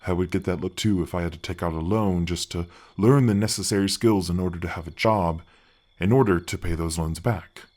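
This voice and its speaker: A man playing a big, kindly gargoyle guardian in a soft fantasy ASMR roleplay, earnest and a little bashful, with his voice deepened by an effect. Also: fairly quick. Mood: wry, concerned. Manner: earnest, courteous, slightly bashful, hesitant phrasing. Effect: deep-voice pitch effect.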